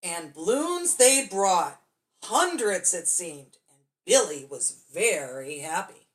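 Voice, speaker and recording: A woman's voice: on the low side, big pitch swings, slow. A woman storyteller reading a children's bedtime story expressively, drawing out key words for dramatic emphasis.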